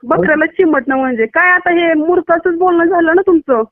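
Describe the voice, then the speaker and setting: A woman's voice: very high-pitched, fairly quick, no echo. A woman with a Maharashtrian Marathi accent, a doctor speaking bluntly in a casual phone conversation. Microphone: phone call. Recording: tinny narrowband phone line.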